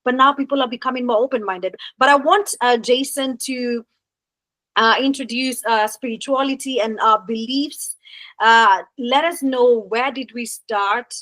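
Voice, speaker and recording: Woman hosting a panel, bit high voice, lots of uhs, dry close sound. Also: measured pace, a bit bright, no room sound. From Kenya. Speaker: host and moderator. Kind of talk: moderating a panel discussion.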